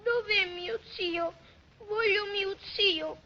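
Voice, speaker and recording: A woman's voice: very high voice, takes it slowly, pushed and bright, exaggerated voice. A woman voicing a small, lost and hungry Italian immigrant boy who speaks timidly, in 1940s radio acting. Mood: pleading. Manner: pleading, timid. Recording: muffled old radio recording.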